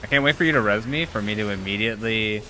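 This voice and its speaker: A man's voice: lowish voice, fast, pushed and bright, soft background music, low-quality recording. A man streaming a game, chatting casually and joking with his teammates. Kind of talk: casual gaming banter.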